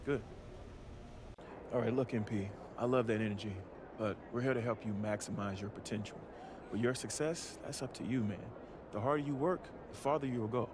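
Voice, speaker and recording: Male, acting: video game basketball coach, firm, frank and encouraging, mid-pitched, with clear street and traffic noise behind. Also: a little fast, lightly acted. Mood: welcoming, encouraging. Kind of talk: naturalistic video game cutscene dialogue.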